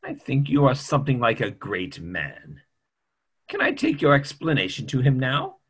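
A man playing a stiff, proper gentleman in a play reading, with formal theatrical delivery. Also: medium pitch, huge pitch swings, normal speed, slightly rough, exaggerated voice. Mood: admiring.

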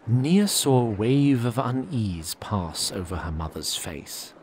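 An actor narrating a fantasy audio drama as its storyteller. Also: male voice, mid-range voice, lively intonation, slow, darkish tone, a little hiss or hum. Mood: measured.

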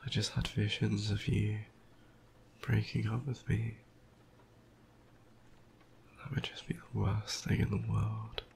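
Tired, croaky, whispering young man. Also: fairly low pitch, level tone, slow pace, pauses often. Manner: soft, affectionate whispering with quiet laughs. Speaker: ASMR boyfriend roleplayer. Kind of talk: ASMR roleplay.

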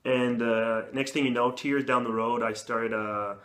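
A young man sits on a living room couch as the guest in a relaxed chat. His voice sits at an ordinary mid pitch, and he talks with friendly enthusiasm, filling pauses with lots of "uh" and "like.". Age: young adult. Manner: friendly, enthusiastic, many fillers. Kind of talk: casual interview chat.

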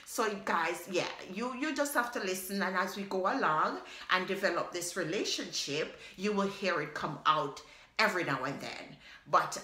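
A Jamaican woman in her thirties talking casually to her phone camera in a small home kitchen, chatty and laughing often. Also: lowish voice. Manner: chatty, animated, laughs often, repeats "yes, guys". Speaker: vlogger. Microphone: phone camera at arm's length. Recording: echoey kitchen.

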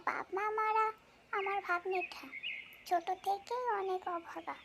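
A female ghost who haunts a tree, very high and childlike, with a creaky edge. She speaks in colloquial exclamations, as in a cartoon folk tale. The recording is noisy, with birds and outdoor ambience behind her. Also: steady tone, unhurried, exaggerated voice. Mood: relieved, tender. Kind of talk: cartoon fairy-tale voice acting.

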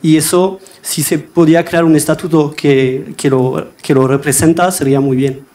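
A man's voice: fairly high pitch, fairly even intonation, moderate pace, warm tone. A young man answering a question on a panel, hesitant and full of fillers and repeated words, speaking into a desk microphone in a large chamber. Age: young adult.

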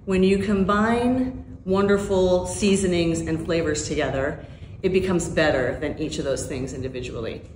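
A woman's voice: sits low, a little hiss or hum. A middle-aged woman from Hawaii, a winery co-owner, talking enthusiastically to the camera. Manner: enthusiastic, descriptive. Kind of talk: promotional to-camera talk. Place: tasting room at a wooden bar. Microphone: camera a few feet away. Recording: roomy indoor echo.

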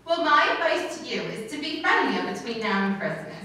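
Woman acting high-voiced scatterbrained wife, very echoey. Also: very animated, brisk, pushed and bright. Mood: perky, muddled. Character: scatterbrained, bossy, cheerful wife muddling her words. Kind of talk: old-time radio comedy acting, staged reading. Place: stage in a hall before a seated audience. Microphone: far camera. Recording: very echoey room, distant camera microphone.

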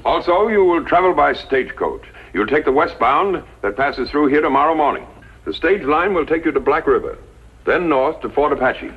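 A man playing a grave army commander in a 1950s radio western, speaking in a deliberate, formal way. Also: a little high, animated, breathy edge. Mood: grave, commanding. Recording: dull, muffled 1950s radio recording.

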